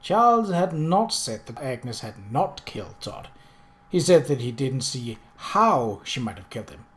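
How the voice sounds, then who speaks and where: A man narrates a story as an audiobook reader. His delivery is expressive and dramatic, set at an ordinary male pitch. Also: unhurried. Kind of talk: scripted narration of a novel.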